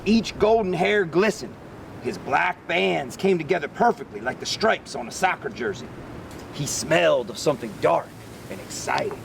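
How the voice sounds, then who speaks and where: Male teacher type for picture-book read-aloud: high, animated voice with some fry, recorded a bit off-mic. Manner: animated, pausing to quiz listeners. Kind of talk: expressive children's read-aloud. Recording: distant mic, rumbly background noise.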